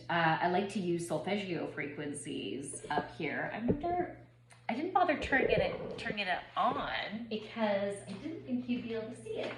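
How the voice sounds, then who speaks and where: A somewhat low-voiced woman in her forties, still a little stuffed up from a cold, rambles through a relaxed spiritual check-in full of ums and restarts. The room sounds echoey, and faint noise hums underneath. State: slightly congested from a head cold. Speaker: spiritual reader and yoga teacher. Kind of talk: casual spiritual channeling vlog.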